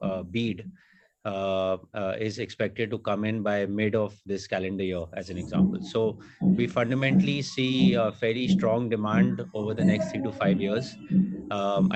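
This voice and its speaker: Low-pitched male executive, halting delivery. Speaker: company executive. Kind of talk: answering analysts on an earnings call.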